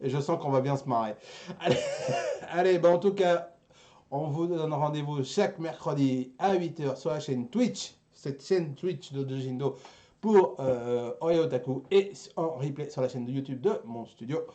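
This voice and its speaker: A man's voice: a little high, normal speed, warm tone. A man hosting a casual, bantering geek culture show, often repeating himself and restarting phrases in a jokey way. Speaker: host of an anime and geek culture live show.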